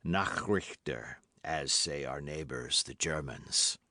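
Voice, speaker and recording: A man voicing a grim, calm executioner who speaks slowly and gravely. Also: rumbling low, no echo, clean studio sound, voice pushed down, exaggerated voice. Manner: slow, grave, deliberate. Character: grim executioner in red cloak, calm and pious.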